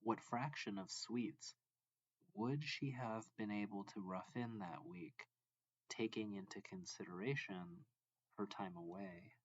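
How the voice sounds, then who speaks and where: Slow, low-voiced man reading a lesson. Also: slightly rough, no room sound, dull audio. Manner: deliberate, broken into short phrases. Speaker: math reading tutor. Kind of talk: slow read-aloud of a textbook lesson.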